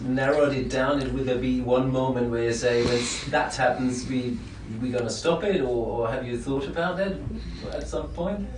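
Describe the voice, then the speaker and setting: A man's voice: medium pitch, normal speed, hardly any pauses. A man interviewing for television, asking hesitant, stumbling questions. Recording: roomy, distant mic with background noise.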